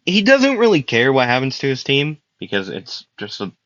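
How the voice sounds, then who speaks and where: A man's voice: medium pitch, wildly swooping pitch, normal speed, fairly bright tone, highs cut off. A young man casually telling a fan-made anime story, rambling with fillers and frequent laughs, his voice getting worn out. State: losing his voice.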